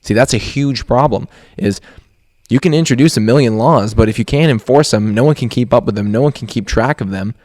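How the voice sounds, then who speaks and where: A man's voice: lowish voice, a little mellow, no room sound, clean studio sound. A man co-hosting a podcast, discussing casually and emphatically, making his points with rhetorical questions. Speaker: podcast co-host.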